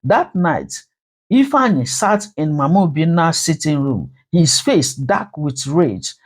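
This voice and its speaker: Animated dramatic folktale storyteller. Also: mid-range voice, a little slow, breathy edge. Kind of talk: dramatic scripted narration of a folktale.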